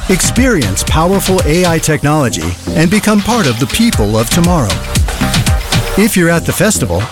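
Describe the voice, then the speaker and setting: A man's voice: on the high side, sing-song, normal speed. A man reading an upbeat scripted advertisement voice-over. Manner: upbeat, promotional. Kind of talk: scripted ad narration. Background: music.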